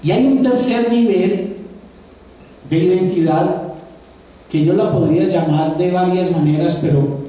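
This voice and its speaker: A man's voice: sits high, measured pace, pauses often, mellow. Paisa-accented man lecturing in echoey hall. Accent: Paisa (Antioquian Spanish). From Antioquia, Colombia. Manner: conversational, addresses audience directly, repeats words while thinking. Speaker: professor giving a lecture. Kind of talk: live public lecture. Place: auditorium. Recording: echoey auditorium from far off, faint noise.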